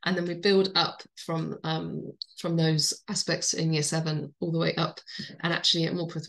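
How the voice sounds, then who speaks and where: Croaky-voiced woman teacher, low gravelly tone. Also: a bit bright. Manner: informal, earnest, many fillers. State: croaky voice from illness. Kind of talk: recorded teacher-training talk.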